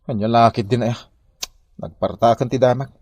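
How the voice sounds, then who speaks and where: A man's voice: mid-range voice, fairly even intonation, moderate pace, a little mellow, no room sound, highs cut off, close to an everyday voice. An actor playing a flustered, aggrieved village man in Ilocano comedy drama, with an Ilocano accent. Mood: exasperated.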